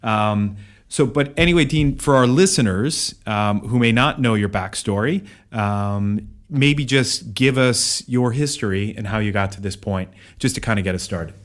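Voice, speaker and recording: Male podcast co-host with a lower voice, loose and meandering as he asks interview questions. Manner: brisk read intro, then rambling questions full of ums. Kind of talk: scripted intro, then interview questions. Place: office in a shared workspace.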